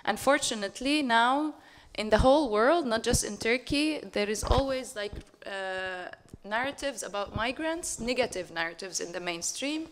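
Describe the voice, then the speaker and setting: Casting: woman raised in Syria, earnest and steady, filler-heavy panel speaker. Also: on the high side, normal speed. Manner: earnest, steady, with frequent ums and uhs. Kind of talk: public talk presentation.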